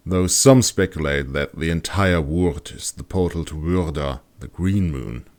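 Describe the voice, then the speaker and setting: A man's voice: extremely low pitch, measured pace. A man playing a well-read, plain-spoken male ranger in a fantasy audiobook, explaining matter-of-factly. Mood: musing.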